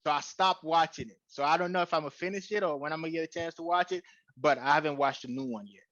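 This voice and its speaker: Guest: young man, West African-Atlanta lilt, halting and filler-heavy, flat dry lo-fi call sound. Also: fairly high pitch, dry recording. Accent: West African mixed with Atlanta. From Guinea, raised in Atlanta. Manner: hesitant, many uhs, laughs easily. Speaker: guest, YouTube creator. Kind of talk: casual interview chat. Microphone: headset on video call.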